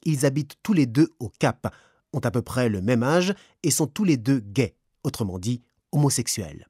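A man's voice: medium pitch, expressive, moderate pace, mellow, husky, no echo, studio quality. A man presenting a documentary with scripted narration.

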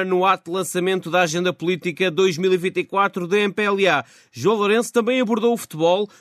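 A man's voice: fairly high pitch, a bit bright, no echo. A man presenting scripted radio news as host, speaking into a studio microphone.

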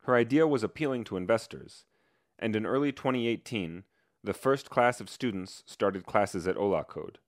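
Male reporter narrating news, low voice. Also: dry recording, studio quality. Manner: measured, even delivery.

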